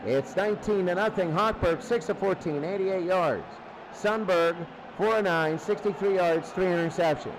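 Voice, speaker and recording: A high-voiced man gives live football play-by-play. He speaks in short, clipped phrases as the action moves. The recording is a noisy, low-fi broadcast. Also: normal speed. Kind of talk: live sports commentary.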